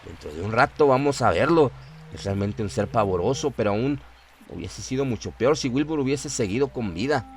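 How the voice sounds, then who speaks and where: A man voicing a grave, resolute learned monster hunter in a horror radio drama, solemn and emphatic. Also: medium pitch. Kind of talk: audio horror drama dialogue.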